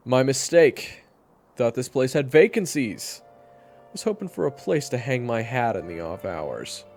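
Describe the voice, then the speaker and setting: A man's voice: medium pitch, lively intonation, unhurried, creaky, darkish tone, faint music behind. A man playing a wary, sardonic gunslinger who talks in a bold, casual, challenging way in an audio roleplay. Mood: wry, probing. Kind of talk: audio roleplay drama acting.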